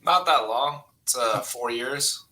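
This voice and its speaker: A man in his early thirties, born in China, a guest comedian telling stories in a casual podcast interview, animated and swearing freely. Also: slow pace, rough recording. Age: 30s. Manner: animated, cursing, bursts into loud higher voice when telling stories.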